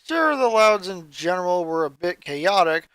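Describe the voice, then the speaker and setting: A woman's voice: on the low side, very animated, moderate pace, a bit bright, no room sound. A woman reading scripted fan fiction as a story narrator.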